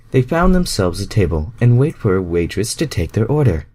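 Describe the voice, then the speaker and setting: Low-voiced man reading a story casually. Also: a little mellow. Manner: self-deprecating, breaks off to comment. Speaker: amateur fan fiction reader.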